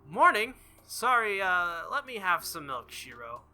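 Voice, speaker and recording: A man's voice: high voice, big pitch swings, a little slow, sharp tone, soft background music, full character voice. A man voicing a groggy, blunt teenage girl from a visual novel, casual and drowsily complaining.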